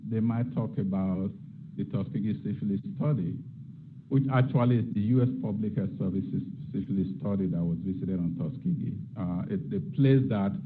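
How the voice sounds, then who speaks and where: A man's voice: fairly low pitch, narrow, tinny audio. A middle-aged man from Nigeria, giving an academic lecture and posing rhetorical questions as a guest lecturer. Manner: reflective, asks rhetorical questions.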